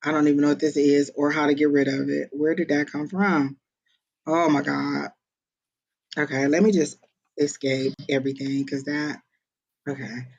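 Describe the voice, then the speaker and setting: A psychic medium giving live-streamed readings in a casual, expressive way, often exclaiming and pausing on fillers. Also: medium pitch, gravelly, dull audio.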